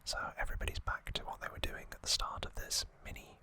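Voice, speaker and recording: An ASMR host whispering a recap of trivia in a breathy, unvoiced voice, with halting, informal asides. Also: bright, forceful tone.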